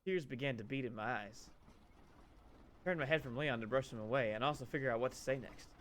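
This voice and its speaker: A man's voice: mid-range voice, a little fast, fairly bright tone, close to an everyday voice. A man voicing an anxious, sarcastic young woman who narrates her ordeal in a visual novel read-aloud. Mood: wry, narrating.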